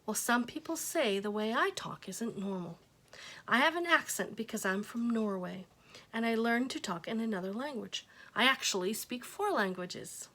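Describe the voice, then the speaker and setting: A woman's voice: fairly high pitch, lively intonation, normal speed, sharp tone, heavily acted. A woman reading aloud, voicing a cheerful, chatty waitress in a storybook.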